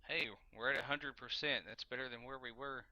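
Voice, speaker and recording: Streamer: man with a Kentucky accent, laid-back, talks to chat and himself mid-game, very bright voice. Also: medium pitch, fairly even intonation, fairly quick, lo-fi sound, a little hiss or hum. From Kentucky, USA. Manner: easygoing, mutters to himself while playing, answers chat. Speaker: gaming livestreamer. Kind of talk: casual gameplay commentary, chatting with viewers.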